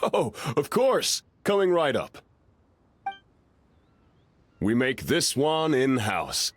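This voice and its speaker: A man playing a busy, obliging restaurant chef and owner in a video game, with an expressive manner. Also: mid-range voice, takes it slowly, slight background noise. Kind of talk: video game character acting.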